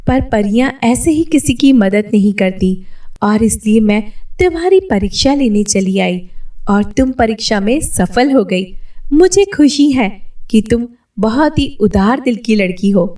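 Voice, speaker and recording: A woman voicing a wise, benevolent fairy in a children's story, speaking in a gentle, instructive way. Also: fairly high pitch, expressive, measured pace, far from the mic. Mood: kindly, solemn. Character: benevolent, wise female fairy who rewards kindness.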